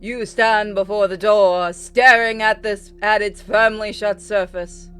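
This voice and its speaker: Woman reading a game aloud, mid-pitched. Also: fairly even intonation, unhurried, a bit bright, clean tone, faint music behind. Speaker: gaming video host. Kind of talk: game playthrough, reading dialogue aloud with casual asides.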